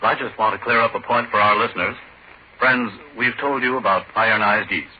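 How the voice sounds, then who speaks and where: Casting: male vintage radio pitchman, fairly low voice, emphatic selling, muffled sound. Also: brisk, breathy edge. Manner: emphatic, salesmanlike. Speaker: radio announcer. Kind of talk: 1940s radio sponsor pitch. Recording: muffled, noisy 1940s radio recording.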